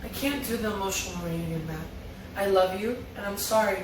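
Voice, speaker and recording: A woman's voice: mid-range voice, fairly quick, background noise. A woman reading character lines from a drama script in an amateur table read. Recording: echoey room, distant mic, music behind.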